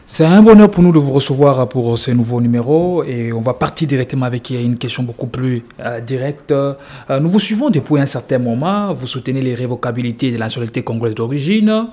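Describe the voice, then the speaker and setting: A man's voice: mid-range voice, lively intonation, brisk, warm tone. A man hosting a news show, asking formal interview questions with hesitant fillers.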